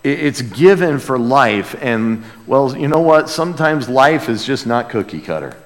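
An American man preaching a conversational sermon as a pastor, earnest and emphatic, with hushed asides and rhetorical questions. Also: mid-range voice, lively intonation, a little slow. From United States. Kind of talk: sermon, conversational Bible teaching. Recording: long hall reverb.

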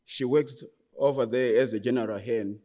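A man in his twenties with a Zimbabwean accent, giving a personal testimony as a guest speaker in an earnest, halting way that often restarts phrases. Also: mid-range voice, fairly even intonation, mellow. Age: 20s. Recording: long hall reverb.

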